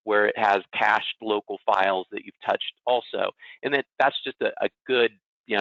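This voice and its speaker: A man with a lowish, forceful voice leads an informal webinar as a consultant. He talks conversationally, walking listeners through a software demonstration. The audio is dry and slightly dull. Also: dry recording. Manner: conversational, self-deprecating, checks in with "okay" and "right". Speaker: presenter, legal technology consultant.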